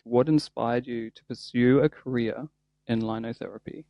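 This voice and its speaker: A man with a New Zealand accent hosting a podcast, playful and quick to laugh. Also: medium pitch, steady tone, gravelly, no echo, lo-fi sound. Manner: playful, giggly, easily cracks up. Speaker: podcast host. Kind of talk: casual podcast interview.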